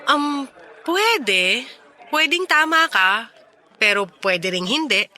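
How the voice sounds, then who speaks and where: Bright, high-voiced wistful young woman. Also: sing-song, takes it slowly, some chatter behind, exaggerated voice. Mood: playful, coy. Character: Mela, wistful young woman reunited with her ex. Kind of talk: Tagalog radio drama acting.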